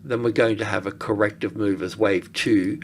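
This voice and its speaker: A man giving informal technical commentary on charts as an analyst, thinking aloud in a halting way. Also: lowish voice, steady tone. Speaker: market analyst presenter.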